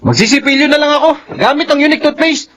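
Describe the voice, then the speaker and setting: An actor playing a domineering, jealous, menacing husband in a Filipino radio drama. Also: male voice, very high-pitched, fast, fairly bright tone, slight background noise. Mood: bossy.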